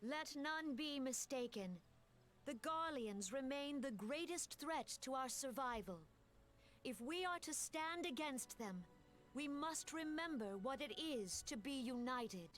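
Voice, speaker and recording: A woman voicing a stately female leader of a forest nation, delivering formal, measured oratory to a memorial gathering in a video game. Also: high voice, animated, a bit bright. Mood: solemn.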